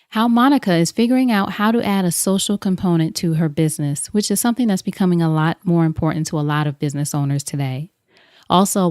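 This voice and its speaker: Female podcast host. Low, smooth, crisp voice; upbeat intro and interview style, recorded dry and close. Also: a little mellow, dry recording.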